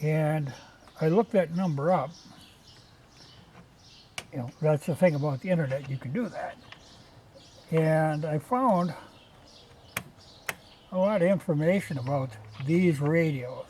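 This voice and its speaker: Folksy rambling man, slightly high voice. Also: a little slow, long pauses, a little mellow, a little hiss or hum. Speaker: vlogger showing old radios from a junk collection. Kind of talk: casual unscripted show-and-tell.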